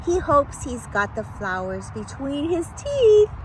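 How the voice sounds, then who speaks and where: High-voiced woman reading a children's story aloud. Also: very animated, a little hiss or hum, poor audio. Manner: dramatic, playful, with exclamations and suspenseful pauses.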